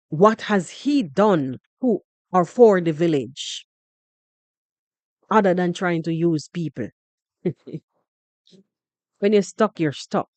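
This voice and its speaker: A woman with a Jamaican accent hosting a podcast, commenting in an animated, repetitive way. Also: mid-range voice, no echo. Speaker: podcast host. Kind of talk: casual commentary.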